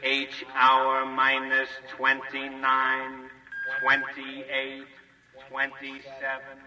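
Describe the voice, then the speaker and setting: A loudspeaker announcer in a vintage radio play, reading the countdown in a slow, even monotone. The voice is heard from far off, with a long echo trailing it. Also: male voice, medium pitch, background noise. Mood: flat. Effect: public address speaker. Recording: distant echoing loudspeaker.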